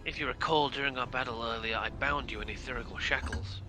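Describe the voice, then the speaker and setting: A man reading the part of an earnest, dutiful warder from a fantasy video game, in formal, measured speech. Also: medium pitch, music in the background, close to an everyday voice. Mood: explaining, earnest. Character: dutiful, earnest male warder of a magical prison. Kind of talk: live read of video game dialogue.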